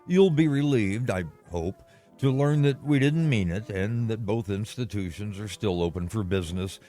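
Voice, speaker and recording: A man giving a scripted, old-time radio sign-off, addressing listeners in measured, theatrical phrases. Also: fairly low pitch, mellow. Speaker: lead actor giving sign-off out of character. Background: faint music.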